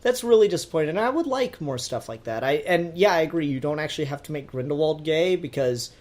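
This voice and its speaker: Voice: mid-range voice, animated, quick pace, darkish tone. A podcast co-host giving opinions in a conversational way during a casual fandom discussion.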